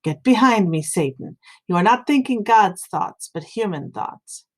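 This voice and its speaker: A woman's voice: mid-range voice, lively intonation, unhurried. Woman voicing an exasperated, stern male teacher. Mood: stern rebuke. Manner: emphatic, probing rhetorical questions. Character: Jesus as exasperated, stern male teacher questioning his followers.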